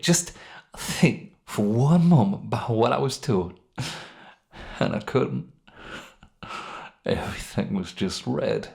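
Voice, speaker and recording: A man playing a wounded, guilt-ridden criminal in a narrated story, halting and pained, with a gravelly, emotion-strained voice. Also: mid-range voice, a little mellow, pitched above the performer's own voice. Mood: rueful, dazed. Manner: halting, rueful, with sighs and bitter weak laughs. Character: wounded, guilt-ridden criminal mourning his dead brother. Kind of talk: dramatic monologue in a narrated story.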